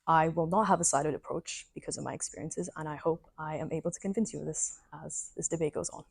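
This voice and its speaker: A woman's voice: low-pitched, fairly even intonation, quick pace, creaky, breathy edge, no room sound. A young woman from Saudi Arabia, a student candidate giving a composed prepared statement. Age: young adult. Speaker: election candidate.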